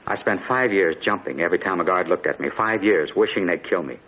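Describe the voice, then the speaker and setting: A man's voice: deep voice, a little fast, fairly bright tone. An actor playing a desperate, bitter immigrant man haunted by his past, insistent and wrought up, in a 1950s radio drama. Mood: embittered, resolute. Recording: dull, noisy 1950s radio recording.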